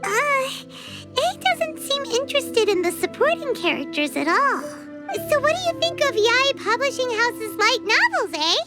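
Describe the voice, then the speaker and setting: Game sidekick: tiny childlike fairy girl, extremely high, swooping delivery, chirpy and excitable. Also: measured pace, loud music behind the voice, full character voice. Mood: hesitant, probing. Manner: speaks of herself in third person, exclamatory. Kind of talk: video game character acting.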